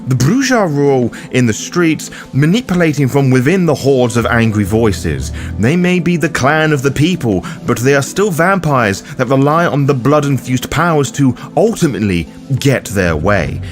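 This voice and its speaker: A man's voice: mid-range voice, sing-song, normal speed, hardly any pauses, faint music behind. A man delivering scripted video-essay narration in an emphatic, opinionated manner, as the host of a lore guide.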